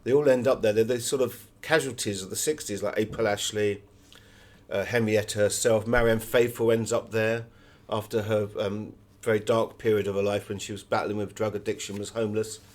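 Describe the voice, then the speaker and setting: Low-voiced man, discursive interview guest. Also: steady tone, brisk. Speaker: interview guest, author and artist. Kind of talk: conversational interview, telling anecdotes.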